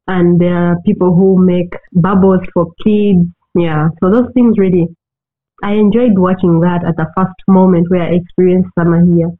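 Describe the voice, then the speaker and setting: A podcast co-host from Uganda talks in a slow, reflective way, often breaking into soft laughs. The voice sits at a middle pitch. It comes through a thin, phone-like line. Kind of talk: casual chat.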